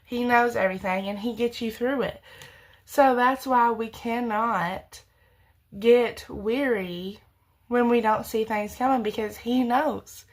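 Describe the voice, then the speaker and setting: A woman's voice: on the high side, takes it slowly. A young woman, about twenty, giving earnest, rambling faith advice straight to viewers, with frequent restarts and self-corrections. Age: young adult. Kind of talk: casual faith talk to camera.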